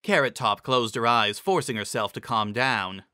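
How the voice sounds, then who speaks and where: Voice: mid-range voice, moderate pace, bright, forceful tone, no room sound, studio quality. A narrator giving a dramatic, scripted reading of a fantasy story.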